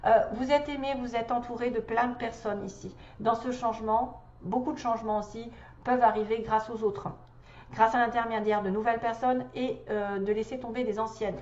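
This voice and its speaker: Tarot reader, woman, slightly high voice, stuffy with a cold, chatty and hesitant; echoey room, dull recording. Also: steady tone, brisk, darkish tone. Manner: chatty, hesitant fillers, laughs often. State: has a cold. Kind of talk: tarot reading guidance.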